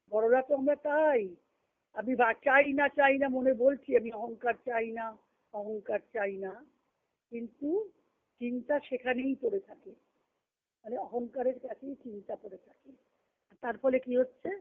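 Phone-quality audio. Female spiritual teacher, didactic delivery, reads aloud and explains with emphatic repetition. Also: on the high side. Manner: didactic, repeats phrases for emphasis. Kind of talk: devotional teaching, reading a text aloud and explaining it. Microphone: conference call line. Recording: muffled, narrow phone-like conference call.

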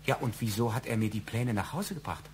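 A man's voice: lowish voice, fairly quick, a little hiss or hum, close to an everyday voice. A male actor playing a brooding, suspicious husband with wounded pride in a German radio crime drama, intense and probing. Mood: suspicious, agitated.

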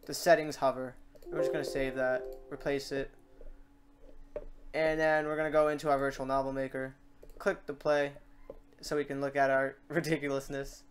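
A man hosting a casual software tutorial, informal and rambling, thinking aloud as he works. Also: medium pitch, level tone, normal speed, smooth. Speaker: tutorial host. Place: indoors at a computer.

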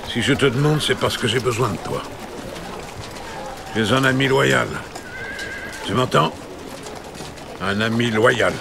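A man dubbing a proud middle-aged king who pleads earnestly with a gravelly voice. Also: medium pitch, a little slow, long pauses, noisy background, pitched above the performer's own voice. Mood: pleading.

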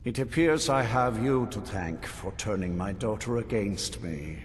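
An actor playing a pompous, scornful vampire lord in a fantasy video game. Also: male voice, animated, a little slow, soft background music. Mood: cold contempt. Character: haughty, menacing male vampire lord. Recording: reverberant hall, noisy rumble behind.

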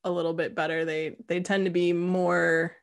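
A woman's voice: lowish voice, normal speed, vocal fry. Joking woman host in her forties. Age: early 40s. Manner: relaxed, joking, laughs along. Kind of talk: casual interview chat. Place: home room, indoors. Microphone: video call.

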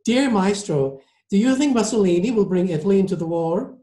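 A man's voice: high voice, lively intonation, brisk, warm tone, pitched above the performer's own voice. A man voicing a gushing, wealthy American society woman in a novel reading, with a coquettish manner. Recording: echoey room.

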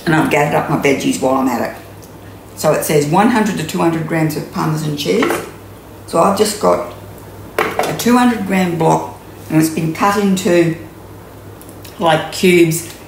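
A woman's voice: low voice, a little slow, vocal fry, pauses often, background noise. A woman in her sixties with an Australian accent, chatting through a home cooking demonstration with many ums and restarts, recorded in her kitchen on a camera mic a few metres away. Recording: echoey kitchen room.

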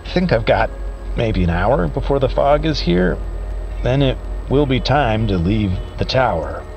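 Weary, slow-talking doomed radio observer played by a man. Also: medium pitch, expressive, vocal fry, a little mellow, soft background music. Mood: grim resolve. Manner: halting, broken phrases with long pauses. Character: weary, desperate male radio observer facing doom. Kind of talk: audio drama monologue, found-footage radio transmission.

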